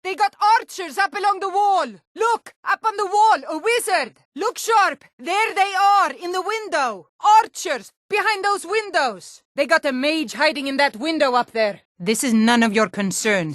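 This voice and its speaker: Blunt, high-pitched Nord warrior woman. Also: fairly bright tone, no echo, clean studio sound. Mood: shouted battle orders, then stern warning. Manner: blunt, commanding, terse. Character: tough Nord woman warrior, blunt, proud soldier and guard. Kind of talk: video game character voice lines.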